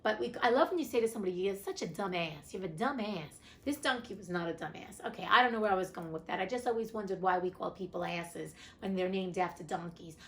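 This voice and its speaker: A woman's voice: lowish voice, quick pace, hardly any pauses. A woman reading a classic fairy tale aloud, breaking off for chatty, irreverent asides with casual swearing. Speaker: host reading classic stories.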